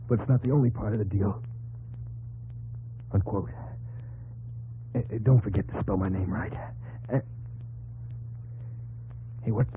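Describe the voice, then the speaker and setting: A man playing an earnest, plain-spoken young American soldier in a 1950s radio drama, talking haltingly with an American accent. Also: mid-range voice, a little hiss or hum, natural voice. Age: young adult. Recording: narrow, humming old 1950s radio recording.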